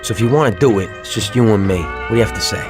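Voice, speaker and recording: An actor playing a greedy, restless gangster sidekick in a video game, informal and wheedling. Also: male voice, on the low side, racing, music in the background, natural voice. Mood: scheming.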